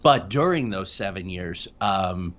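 Male guest on an interview show telling first-hand accounts, lowish voice, mildly dulled audio. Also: expressive, unhurried, a bit bright, lo-fi sound. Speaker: interview guest, paranormal researcher. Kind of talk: recounting personal experiences in conversation.

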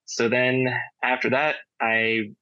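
A man's voice: mid-range voice, little pitch movement, takes it slowly, pushed and bright, no room sound. A man chatting casually in an interview as a director, modest and understated, on a dull lo-fi recording. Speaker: audio drama director and sound designer. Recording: dry, dull lo-fi sound.

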